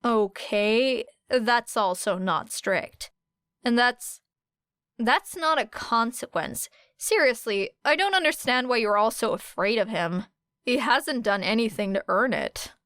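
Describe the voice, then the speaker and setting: A woman's voice: fairly high pitch, animated, takes it slowly, a bit bright, dry recording, full character voice. A woman voicing a wary, blunt teenage schoolgirl in an audiobook, dry and insistent. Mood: exasperated, insistent. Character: wary, defensive, blunt teenage girl student.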